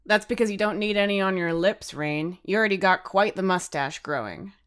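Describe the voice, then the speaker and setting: A woman's voice: mid-range voice, fairly quick, clean tone, natural voice. Brief: female narrator as teasing teen lad, offhand, cheeky, slightly defensive, story dialogue. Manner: casual, teasing. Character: cheeky, teasing teenage boy.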